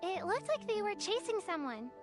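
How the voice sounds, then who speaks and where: Casting: little child in a video game; tiny high voice, hopeful, over music. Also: female voice, big pitch swings, normal speed. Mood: helpful. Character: shy, hopeful little child wanting to play.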